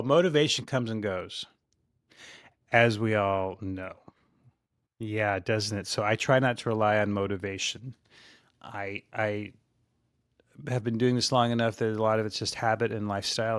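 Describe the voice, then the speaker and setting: Low-voiced man in his sixties, reflective. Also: steady tone, normal speed, smooth, no echo, studio quality. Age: 60s. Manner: rambling and reflective, with frequent restarts. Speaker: podcast guest, fitness content strategist. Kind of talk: casual interview chat.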